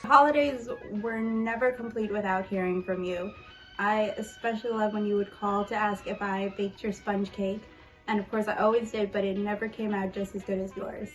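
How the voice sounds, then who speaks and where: A woman's voice: medium pitch, steady tone, normal speed, faint music behind, rough recording. A woman giving a personal farewell tribute to a relative.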